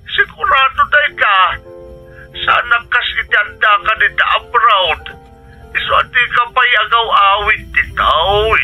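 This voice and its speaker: A man's voice: very high-pitched, muffled recording. An actor playing an anxious, plaintive middle-aged Filipino father with an Ilocano accent in a radio drama. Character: anxious, aging Filipino father pleading with his daughter.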